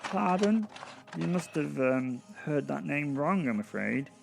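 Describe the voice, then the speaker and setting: A man voicing a nervous, polite little gatekeeper clerk in an audio drama, with a squeaky, trembling, hesitant voice. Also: very animated, measured pace, gravelly, background noise, exaggerated voice. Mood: flustered. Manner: hesitant, over-polite, fussy.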